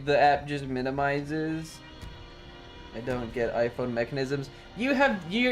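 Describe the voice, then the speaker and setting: A streamer casually commenting on a game and chatting with viewers in a rambling, playful, profane manner. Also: medium pitch. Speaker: live streamer.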